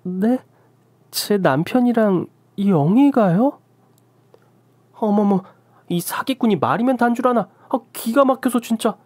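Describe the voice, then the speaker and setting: A woman's voice: on the high side, lively intonation, a little slow, long pauses, darkish tone, no echo, pitched above the performer's own voice. A woman playing a trusting young wife who talks plainly and earnestly, in a dry recording. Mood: outraged.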